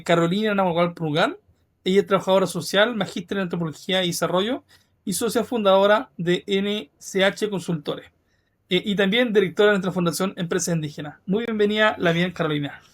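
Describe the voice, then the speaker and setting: A man's voice: high-pitched, a little fast, a bit bright. A man with a Chilean accent hosting an interview podcast, speaking courteously and formally to his guest. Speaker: podcast host.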